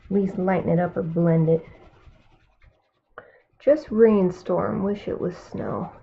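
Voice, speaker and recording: A woman's voice: lowish voice, fairly even intonation, takes it slowly, vocal fry, warm tone, breathy edge. A woman with a Californian accent, an artist chatting casually while she paints live, musing aloud and murmuring to herself between remarks. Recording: tinny phone-like sound.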